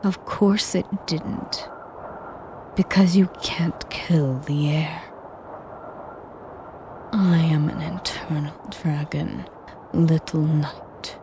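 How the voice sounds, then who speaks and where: A woman playing an ancient, sadistic female air dragon in a dramatic audio roleplay, breathy and whispery, speaking deliberately with haughty, menacing condescension. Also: sits low, steady tone, background noise, exaggerated voice. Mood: taunting, condescending. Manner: slow, deliberate, condescending, taunting. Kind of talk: dramatic scripted roleplay monologue.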